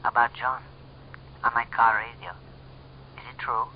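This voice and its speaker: An actor playing a concerned secret lover in an old-time radio drama, heard through a telephone filter. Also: male voice, mid-range voice, little pitch movement, measured pace, lo-fi sound, slight background noise. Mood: urgent, concerned.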